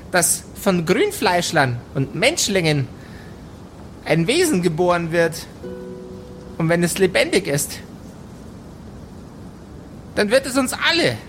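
A woman voicing an excitable goblin in a tabletop audio play, urgent and grandiose as it proclaims a prophecy of peace. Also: medium pitch, a little hiss or hum.

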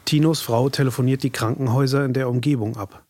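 A man's voice: mid-range voice, steady tone, quick pace, a little mellow, no room sound, clean studio sound. A man reading scripted true-crime documentary narration in the present tense.

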